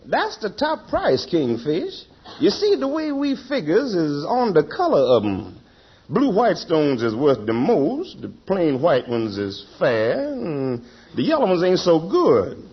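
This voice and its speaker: A man's voice: fairly high pitch, huge pitch swings, a little slow, slightly rough, heavily acted. A man playing a frank, unimpressed pawnbroker with dry mockery in a 1940s radio sitcom. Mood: dryly mocking. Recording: muffled, noisy vintage radio recording with clicks.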